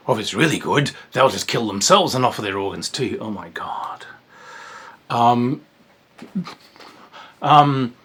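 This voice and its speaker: A man's voice: mid-range voice, fairly bright tone, husky. A man giving a casual, rambling spoken review of a tabletop game, with sighs, whispered asides and exclamations.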